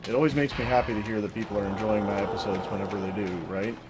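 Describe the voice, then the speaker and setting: A woman talking through solo gameplay commentary in a casual, monotone, self-deprecating way, swearing now and then. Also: rumbling low, rapid-fire. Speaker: let's play commentator. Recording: game music loud behind voice. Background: video game music.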